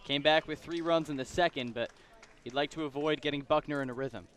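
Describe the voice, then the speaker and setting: A man with an American accent works as the color commentator on a baseball broadcast. His voice is on the higher side. He offers conversational analysis of the players between plays. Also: fairly quick, a bit bright.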